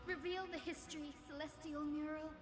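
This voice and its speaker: Lady voicing gentle young game noblewoman, high pitch, formal, music behind. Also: fairly even intonation, a little fast, close to an everyday voice. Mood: earnest, wondering.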